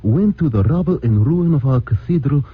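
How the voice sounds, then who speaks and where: An actor playing a grave, devout immigrant man, husky, halting and formal, in a radio drama. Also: on the high side, expressive, no echo. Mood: solemn, hopeful. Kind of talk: 1940s-50s radio drama acting. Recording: muffled old radio recording.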